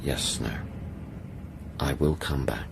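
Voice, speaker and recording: Deep-voiced, grieving male scientist. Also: takes it slowly, vocal fry, background noise, heavily acted. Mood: quiet, resolute. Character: grieving male scientist on an alien planet, quietly yearning. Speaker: actor, narrator of the drama. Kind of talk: first-person audio drama narration and dialogue.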